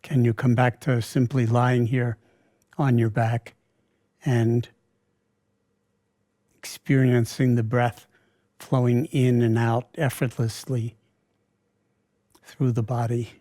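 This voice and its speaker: A man leading a guided meditation in a calm, unhurried way, often pausing mid-sentence. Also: mid-range voice, no room sound, studio quality. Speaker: meditation teacher.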